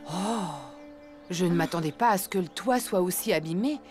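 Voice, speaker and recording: A woman playing a caring, gently worried elephant mother in a children's cartoon, soothing and expressive. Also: on the low side, normal speed, close to an everyday voice. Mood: concerned. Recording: music behind the voice.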